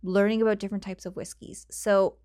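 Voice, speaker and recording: A woman's voice: mid-range voice, little pitch movement, a little fast, darkish tone, no echo, clean studio sound. A woman with a Canadian accent hosting her own podcast, talking casually and rambling off on tangents with frequent laughs, on a cheap home microphone. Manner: chatty, rambling, laughs often, goes off on tangents. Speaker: podcast host. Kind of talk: casual solo podcast chat.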